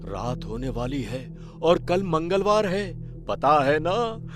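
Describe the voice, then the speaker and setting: A man's voice: fairly high pitch, animated, takes it slowly. A man voicing a timid, plain-spoken village farmer in a cartoon story, with a hesitant, exaggerated delivery. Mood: concerned, tired. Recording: loud music behind.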